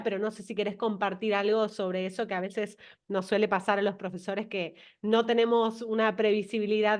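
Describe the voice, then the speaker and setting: Woman hosting an interview. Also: medium pitch. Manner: encouraging, affirming. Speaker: interview host.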